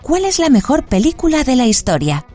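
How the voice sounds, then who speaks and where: A woman's voice: high-pitched, sing-song, brisk, warm tone, faint music behind. A woman voicing a female automated movie-booth machine that reads out quiz prompts in a formal announcing manner. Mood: formal prompting. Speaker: quiz host voice.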